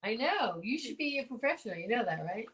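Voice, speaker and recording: Woman cohost just chatting, kinda high voice, super encouraging and effusive. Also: big pitch swings, fast, a bit bright. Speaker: show co-host. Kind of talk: casual interview chat.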